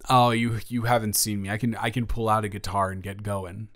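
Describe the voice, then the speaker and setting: A man's voice: lowish voice, flat delivery, a little fast, fairly bright tone, no echo, studio quality, natural voice. A man playing a flirty, joking office boss in an improvised roleplay audio, stammering and interrupting himself as he teases. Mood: joking, chatty. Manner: rambling, stammering, self-interrupting; playful, teasing.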